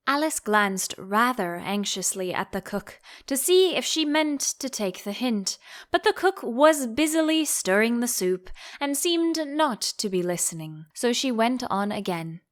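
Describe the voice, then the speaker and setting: Slow, animated woman narrator. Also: fairly high pitch. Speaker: audiobook narrator. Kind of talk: expressive storybook narration.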